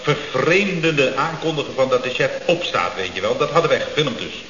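A man's voice: medium pitch, animated, moderate pace, crowd noise, close to an everyday voice. Eager, pretentious employee played by a man, echoey. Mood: earnest, pretentious. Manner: earnest, explanatory, deferential to his chief. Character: eager, pretentious male employee, an amateur film director. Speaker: actor in a radio comedy serial. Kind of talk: radio comedy acting. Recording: echoey, dull, humming old radio recording.

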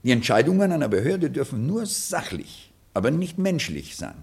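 Nervous, stammering government clerk. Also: male voice, mid-range voice, sing-song, moderate pace, gravelly, darkish tone, slightly rough, exaggerated voice. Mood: earnest, apologetic. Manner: hesitant, stammering, over-polite. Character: nervous, apologetic, well-meaning male government clerk. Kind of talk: German radio play acting.

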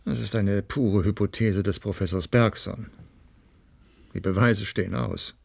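An actor playing a stern, skeptical mission official with a husky, creaky voice and formal manner in a radio drama. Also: male voice, fairly low pitch, fairly even intonation, measured pace, dry recording, heavily acted. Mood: skeptical, resolute. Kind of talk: German science-fiction radio drama.

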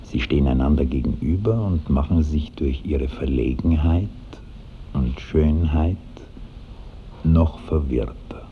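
Very deep-voiced male radio drama narrator. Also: a little slow. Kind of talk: scripted narration of stage directions in a radio play. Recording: muffled 1960s radio with slight noise.

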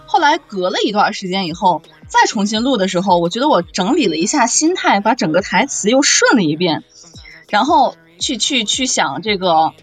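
Female, lively and expressive voice actor in relaxed discussion, varied intonation, music underneath. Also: medium pitch, slightly rough. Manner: animated, expressive. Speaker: voice actor discussing her audio drama role. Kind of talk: casual panel chat about a radio drama.

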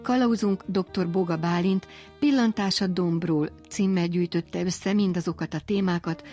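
A woman's voice: lowish voice, mellow, faint music behind. A woman hosting a radio science programme, reading scripted narration.

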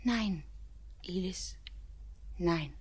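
An actress playing a devoted, despairing young bride in a 1940s radio drama, with halting, fervent, lyrical delivery. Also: female voice, lowish voice, breathy edge, heavily acted. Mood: pleading.

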